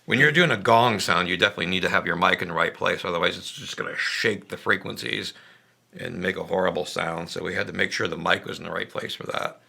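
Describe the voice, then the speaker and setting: Guy with a gravelly low voice, bright edge, laid back band chat, faint music behind. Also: fast. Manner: relaxed, rambling, laughs easily. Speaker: band member discussing a song. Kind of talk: casual conversational chat.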